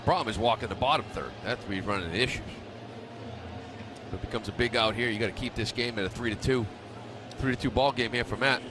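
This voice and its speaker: A man's voice: medium pitch, quick pace. A man giving conversational live baseball analysis as a radio color commentator. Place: stadium broadcast booth. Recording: noisy live ballpark radio broadcast.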